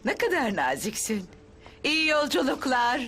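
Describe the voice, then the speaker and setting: A woman's voice: sits high, very animated, moderate pace, fairly bright tone, exaggerated voice. A woman voicing a kindly lady traveller in a dubbed cartoon, sweet and doting.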